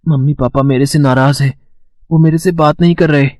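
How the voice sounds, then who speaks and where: A man's voice: on the high side, steady tone, a little fast, a little mellow, no room sound, studio quality, close to an everyday voice. A man voicing a well-off schoolboy in a cartoon moral story. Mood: remorseful. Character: spoiled rich schoolboy turned remorseful.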